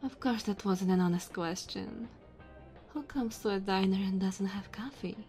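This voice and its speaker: A woman's voice: mid-range voice, normal speed, darkish tone, soft background music, lightly acted. A woman playing a sassy, flirty diner waitress in an ASMR roleplay, teasing and chatty.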